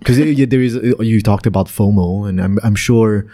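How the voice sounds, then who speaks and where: A man's voice: fairly low pitch, normal speed, dark tone. A young man, hosting a casual interview, talking in a chatty way with hesitant restarts. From France. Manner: chatty, hesitant restarts, laughs while telling stories. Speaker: podcast host.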